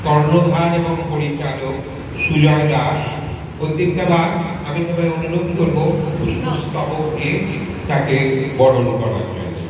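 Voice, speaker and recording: A man's voice: a little high, measured pace, long pauses. Very echoey male stage announcer. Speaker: event announcer. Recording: very echoey hall, distant, noisy.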